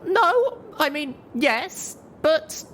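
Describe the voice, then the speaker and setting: A very high, squeaky young mare as a male reader puts her on, bashful and easily flustered in a storybook adventure. Her earnest pleas break into stammering protests, swinging widely in pitch over a noisy background. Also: very animated, extremely slow, a bit bright, heavily acted. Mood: flustered, embarrassed. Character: flustered young female pony narrator-heroine, bashful and pleading.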